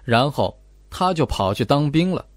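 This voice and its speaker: A man reading expressive scripted narration as an audiobook narrator. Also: a little high, normal speed, no echo.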